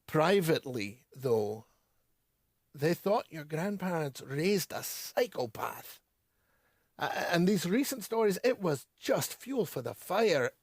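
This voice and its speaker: Earnest small-town bookseller, dry sound. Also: male voice, on the high side, big pitch swings, no room sound, heavily acted. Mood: grave, reluctant. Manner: measured, deliberate, with pauses and throat-clearing. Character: earnest, sympathetic small-town bookseller breaking hard news. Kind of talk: audio drama dialogue.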